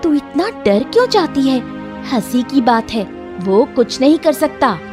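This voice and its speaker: A woman's voice: sits high, big pitch swings, measured pace, over music, voice pushed up. A woman voicing a clever, bold and protective young sister in a story, confident and dismissive of danger.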